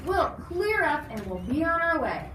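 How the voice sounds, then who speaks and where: A woman's voice: high voice, very animated, a little slow, fairly bright tone, voice pushed up, exaggerated voice. A woman voicing a world-weary, protective fairground man who speaks earnestly and placatingly. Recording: noisy echoey room, distant mic.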